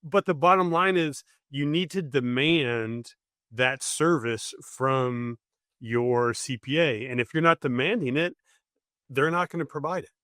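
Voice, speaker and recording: Bright-voiced blunt accountant guest. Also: male voice, medium pitch, no room sound, clean studio sound. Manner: blunt, plainspoken, halting restarts. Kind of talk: podcast interview.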